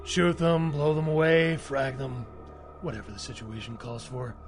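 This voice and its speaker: An actor playing a cocky, trigger-happy cemetery caretaker in a video game, with a laid-back, slangy, wisecracking manner. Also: male voice, medium pitch, level tone, normal speed, close to an everyday voice. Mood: cocky relish. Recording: game music behind the voice.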